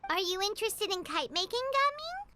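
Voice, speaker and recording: A woman voicing a small, cheerful, chatty childlike fairy companion in a video game, squeaky and excitable. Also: fairly quick, background noise. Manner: speaks of herself in third person, excitable. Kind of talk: video game character voice acting. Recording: music playing behind the voice. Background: game music.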